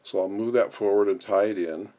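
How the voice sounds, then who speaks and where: A man with a low-pitched voice talks through a practical task as an instructor. He explains each step as he goes, in unscripted tutorial narration. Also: steady tone, fairly quick, dark tone.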